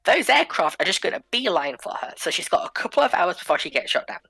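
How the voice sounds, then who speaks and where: A woman's voice: lowish voice, very animated, fast, gravelly, bright, forceful tone, breathy edge, no room sound. A woman in a casual panel discussion, explaining her points in an assertive way.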